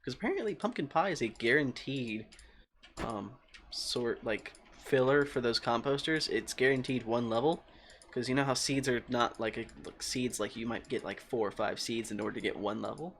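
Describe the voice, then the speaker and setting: A man with a calm voice streaming a video game, rambling as he thinks aloud and answers his chat. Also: mid-range voice, normal speed, slight background noise. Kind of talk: casual livestream chat while playing.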